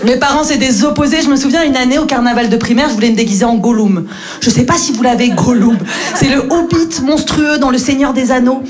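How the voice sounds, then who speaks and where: A young woman on stage tells comic stories about her family and slips into her parents' voices. Her speaking voice sits slightly high, and her delivery is relaxed and conversational. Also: brisk, a crowd in the background. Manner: storytelling, mimics her parents. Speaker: stand-up comedian. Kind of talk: stand-up comedy set. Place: comedy club stage.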